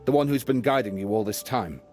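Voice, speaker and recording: A man's voice: medium pitch, brisk, darkish tone, faint music behind, close to an everyday voice. A man voicing a sly, playful narrator character in a video game, with a theatrical, self-deprecating way of talking. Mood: wry confession.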